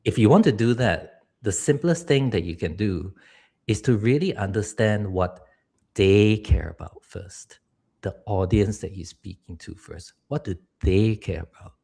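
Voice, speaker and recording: A man's voice: lowish voice, expressive, unhurried, creaky, mellow, breathy edge. A middle-aged man from Singapore, a public speaking coach giving earnest advice on a live stream. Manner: earnest, advice-giving, laughs now and then.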